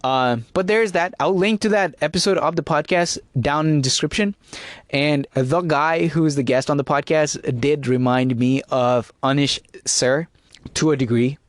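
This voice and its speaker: A man's voice: on the high side, moderate pace, no room sound, studio quality. A man whose first language is Nepali, talking casually on his own podcast in English, rambling with fillers and restarts. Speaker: podcast host. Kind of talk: casual solo podcast talk.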